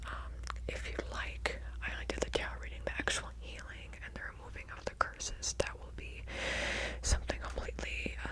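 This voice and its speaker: A woman whispering softly in an ASMR roleplay as a gentle witch tarot reader, reassuring and unhurried, speaking to the listener as a client. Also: low-quality recording. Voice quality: soft breathy whisper.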